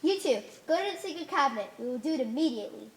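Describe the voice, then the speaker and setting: A woman's voice: sits high, expressive, rapid-fire. A woman playing a pompous, dogmatic alien holy leader in an amateur stage play, making solemn, commanding pronouncements. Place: theater stage.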